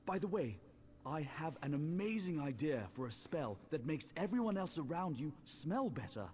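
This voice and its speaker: A man voicing an excitable, scatterbrained wizard in a fantasy game, theatrical and eager. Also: a little high, moderate pace, slight background noise. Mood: relieved, eager. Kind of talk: scripted fantasy game dialogue.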